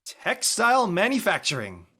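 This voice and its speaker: Woman playing John, animated studious schoolboy. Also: medium pitch, normal speed, breathy edge, heavily acted. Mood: anxious studying. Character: John, studious, good-natured teenage schoolboy. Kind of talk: audio drama table read acting.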